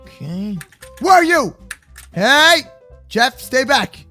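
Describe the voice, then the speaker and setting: A very high, bright male voice swings widely as he plays out a kids' game roleplay with exaggerated, excitable delivery. Music sits behind him. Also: slow pace. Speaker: host of a kids' gaming roleplay channel. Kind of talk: improvised Minecraft roleplay commentary.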